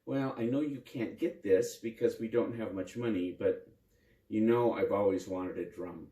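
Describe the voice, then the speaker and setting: A man's voice: lowish voice, moderate pace, mellow, voice pushed down, close to an everyday voice. A man voicing a kind, eager little boy in a children's folktale, speaking simply and earnestly. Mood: wistful. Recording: roomy echo, distant microphone.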